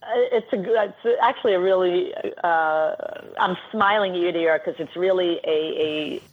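A woman's voice: on the low side, moderate pace, narrow, tinny audio. A middle-aged woman with a New York accent, a guest coach explaining at length in an articulate, list-like way. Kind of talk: radio interview.

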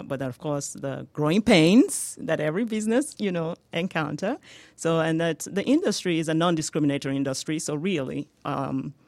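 A woman's voice: low-pitched, creaky, darkish tone, no room sound. A woman with a Nigerian accent, talking in an interview about her healthcare business, laughing often and using many fillers. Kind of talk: radio interview answers.

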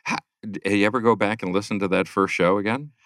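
A man's voice: lowish voice, fast, fairly bright tone, dry recording, clean studio sound. A man hosting a podcast interview, asking friendly questions and laughing along in casual chat. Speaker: podcast host.

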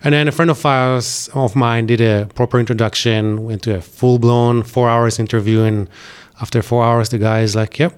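A man from Israel with an Israeli accent, an IT business owner chatting as a radio guest, lively and animated, often adding "right?" and "like". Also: medium pitch, moderate pace, smooth. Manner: lively storyteller, acts out quoted dialogue, frequent "right?" and "like". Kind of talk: casual interview chat. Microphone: studio microphone.

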